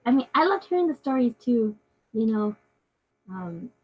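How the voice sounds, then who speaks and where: A woman's voice: a little high, sing-song, moderate pace, breathy edge, highs cut off. A woman chatting casually as a tabletop game player, enthusiastic and agreeable in manner. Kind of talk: casual chat.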